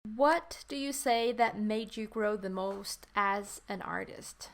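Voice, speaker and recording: A woman's voice: mid-range voice, takes it slowly. Enthusiastic woman, native Portuguese speaker. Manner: enthusiastic and agreeing, often affirms the guest. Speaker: podcast host. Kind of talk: casual conversation.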